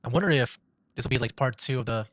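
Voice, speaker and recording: A man's voice: medium pitch, quick pace, a bit bright, no room sound. A man giving casual commentary as he streams a video game. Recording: stream voice over game music.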